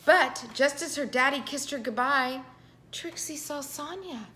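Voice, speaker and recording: A middle-aged woman reading a picture book aloud to children with expressive, dramatic emphasis. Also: high-pitched, unhurried, slight background noise. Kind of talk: animated children's storybook read-aloud. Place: indoor living room with piano.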